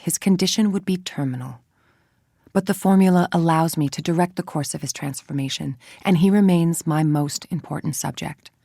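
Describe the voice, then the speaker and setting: A woman playing a cold, arrogant villain scientist in a video game, speaking in a measured, clinical monologue with a breathy, creaky voice that trails toward a whisper. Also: deep voice, mellow, no room sound, clean studio sound, exaggerated voice. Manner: measured, clinical, precise diction. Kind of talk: video game voice acting, confessional audio log monologue.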